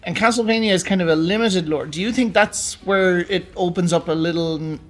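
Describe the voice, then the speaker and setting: A man's voice: high voice, a little fast. A man co-hosting an informal, conversational chat about games and films. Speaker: podcast co-host.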